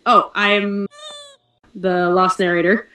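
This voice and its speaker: A woman's voice: on the low side, flat delivery, bright, forceful tone. Woman on an echoey, distant call. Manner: informal, halting. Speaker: narrator on the production team. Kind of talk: casual advice in a group meeting. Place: online group voice call. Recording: echoey voice-call audio, far from mic.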